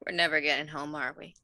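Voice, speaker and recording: A woman's voice: low-pitched, bright, forceful tone, no echo. A woman playing a tabletop roleplaying game, bantering casually with the group.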